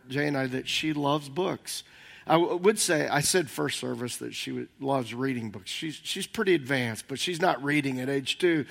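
An older man giving a conversational sermon as senior minister, slightly hoarse, rambling and halting with many fillers. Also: fairly high pitch, fairly bright tone.

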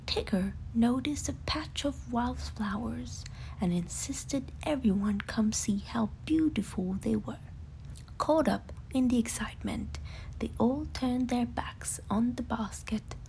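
A woman narrating a children's bedtime story in an expressive, breathy way. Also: fairly high pitch, slow, a little hiss or hum, low-quality recording.

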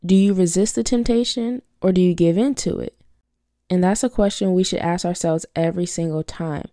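A woman's voice: fairly low pitch, a little fast, dark tone, no room sound, studio quality. A young woman hosting a faith-based podcast, congested from a cold, teaching in an earnest, preachy way and repeating her key phrases. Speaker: podcast host.

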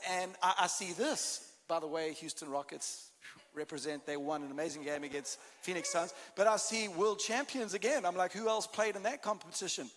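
A man's voice: sits high, fairly bright tone, a little hiss or hum. A man with a South African accent, a guest pastor opening his sermon in a playful, teasing way. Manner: playful, teasing, quick to laugh. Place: large church sanctuary. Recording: long hall reverb.

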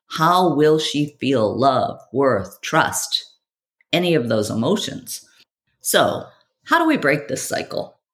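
A woman hosts a self-development podcast at a slow, deliberate pace. She delivers a scripted monologue like a storyteller, leaning on dramatic pauses and rhetorical questions. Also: rumbling low. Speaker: podcast host.

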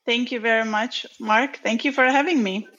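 A woman's voice: fairly high pitch, fairly even intonation, moderate pace, a bit bright. A woman in her forties, originally from Turkey, answering interview questions in a chatty way with many ums and restarts. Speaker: podcast guest, training company founder. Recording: dull lo-fi sound.

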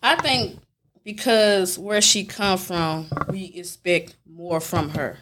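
A woman's voice: on the low side, steady tone, slow, a bit bright. A woman chiming in briefly during a casual podcast chat. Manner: brief interjections. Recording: noisy background.

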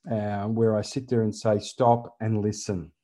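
A man's voice: on the low side, flat delivery, measured pace, dark tone. A man who is a podcast guest and consultant, talking earnestly and emphatically in a conversational interview. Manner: earnest and emphatic, with repeated phrases. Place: living in Germany.

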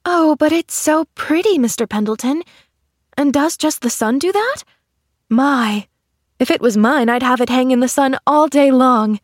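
Woman acting a gushing, high-pitched eager little girl. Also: unhurried, dry recording, studio quality. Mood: delighted awe. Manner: gushing, exclamatory, breathless wonder. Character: eager, cheerful, affectionate young orphan girl. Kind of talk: storybook character acting.

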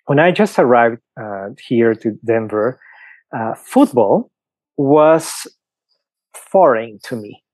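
Peruvian-accented priest, dry close sound. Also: male voice, medium pitch, expressive, slow pace, long pauses, darkish tone, dry recording, rough recording. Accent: Peruvian Spanish. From Peru. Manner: thoughtful, explains with examples, frequent fillers. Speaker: priest guest. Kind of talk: podcast interview answer.

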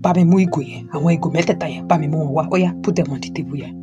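A woman plays a stern elderly Nigerian father with a Yoruba accent in an audio drama. The lowish voice is emphatic and admonishing yet supportive, repeating points over clear guitar music. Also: very fast, dull audio, close to an everyday voice. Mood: firm, resigned. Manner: emphatic, repetitive, admonishing, paternal.

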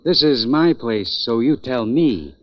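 A man's voice: mid-range voice, animated, slow. An actor playing a suspicious, threatening gambling crook in a 1940s radio crime drama, terse and full of tough-guy slang. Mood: menacing, suspicious. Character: suspicious, menacing gambling crook holding a gun. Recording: dull, dry old radio recording.

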